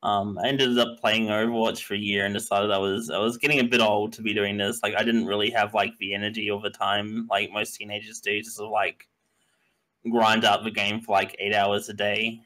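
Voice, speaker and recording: A man's voice: fairly low pitch, little pitch movement, a little fast, pushed and bright, rough recording. A man in his twenties, an esports coach, answering interview questions casually with many fillers and hesitations. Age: 20s.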